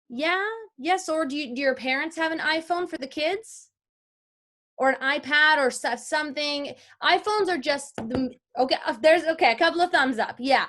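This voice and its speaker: A woman's voice: fairly high pitch, fairly bright tone. A woman teaching an informal online class as a guest voice-over artist, chatty and explanatory, speaking directly to the group.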